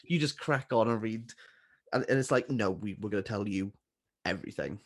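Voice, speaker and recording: A man co-hosting a casual book chat, self-deprecating and quick to laugh. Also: on the low side. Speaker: booktuber co-host.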